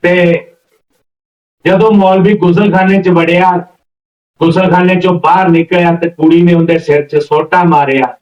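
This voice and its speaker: A man's voice: high-pitched, fairly even intonation, normal speed. A man telling a folk story informally and unhurriedly, repeating phrases as he links one event to the next. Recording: roomy, distant, slightly dull mic.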